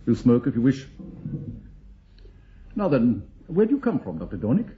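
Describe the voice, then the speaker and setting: A British state interrogator, probes with officious politeness and quiet menace. His pitch moves widely, on a dull radio drama recording. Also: huge pitch swings, normal speed. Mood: polite probing.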